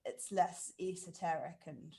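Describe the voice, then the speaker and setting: A woman's voice: fairly low pitch, a little slow, creaky, warm tone. A woman hosting a casual discussion, giving short, encouraging reactions and prompting questions. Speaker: event host, musician.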